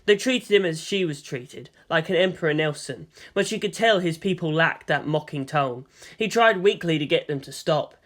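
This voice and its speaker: A man reading a story as narrator in a dramatic, expressive scripted style. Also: fairly high pitch, moderate pace. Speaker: narrator of fan fiction.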